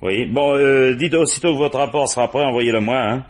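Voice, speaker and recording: An actor playing a brusque, authoritative French police boss in a 1950s-style radio mystery, with curt colloquial phrasing. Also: male voice, mid-range voice, a little fast, natural voice. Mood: pondering. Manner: curt, authoritative, colloquial, frequent "bon" and "hein".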